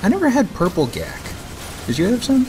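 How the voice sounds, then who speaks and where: A man's voice: high-pitched, wildly swooping pitch, fairly quick, creaky, warm tone, rough recording. A man hosting a let's play, giving casual, joking gaming commentary. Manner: joking banter. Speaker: let's play host playing the game. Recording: game music behind the voice. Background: video game music.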